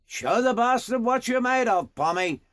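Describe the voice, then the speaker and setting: A man voicing a gruff, foul-mouthed riding boss who barks blunt orders and goads. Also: sits high, big pitch swings, takes it slowly, voice pushed up, heavily acted. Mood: barking orders. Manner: loud, barking, swearing, blunt.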